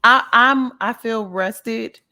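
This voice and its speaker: A young woman co-hosting a casual podcast chat, a little hoarse, teasing and laughing often. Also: a little high, slow pace, bright, forceful tone. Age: young adult. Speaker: podcast co-host.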